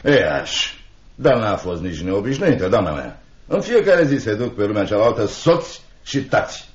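An actor playing a cold, miserly older uncle in a Romanian radio play, sarcastic and dismissive, muttering repetitions. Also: male voice, fairly low pitch, very animated. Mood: mocking, callous. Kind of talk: Romanian radio theatre acting. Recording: dull, band-limited old radio drama recording.

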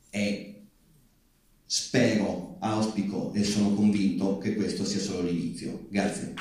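A man's voice: fairly low pitch, warm tone. A man giving an earnest, emphatic public speech from a stage, building in short repeated phrases. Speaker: activist speaker. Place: live theatre stage. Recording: echoey hall, distant microphone.

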